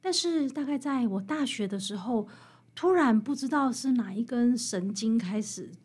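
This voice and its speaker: A woman's voice: on the high side, animated, moderate pace, darkish tone, husky. A woman with a Taiwanese Mandarin accent explaining things conversationally as an interview guest. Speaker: author guest.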